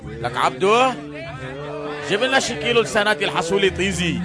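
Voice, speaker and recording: Brief: man as a fast, crude thug, slightly high. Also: sing-song. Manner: crude, insulting, mocking. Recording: loud crowd and music.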